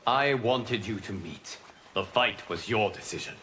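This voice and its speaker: Casting: wise guardian deity for a fighting game, low register, grave and formal, faint ambience behind. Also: male voice, pushed and bright, slight background noise. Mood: grave, measured. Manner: formal, measured, grave wording. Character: calm, solemn male fire god and wise guardian mentor. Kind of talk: scripted fighting game dialogue.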